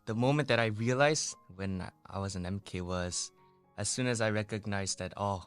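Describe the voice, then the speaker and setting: A man in his twenties, born in Korea and raised in Brazil, answers interview questions and tells lively stories. His voice is fairly low. Faint music plays underneath. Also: normal speed, clean tone. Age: 20s. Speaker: guest.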